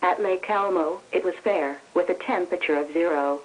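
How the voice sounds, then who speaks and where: A woman's voice: on the low side, moderate pace, rough recording. A synthetic American female voice reading scripted weather conditions formally and impersonally on weather radio. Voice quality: synthetic text-to-speech voice. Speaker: weather observations announcer. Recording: weather radio broadcast reception.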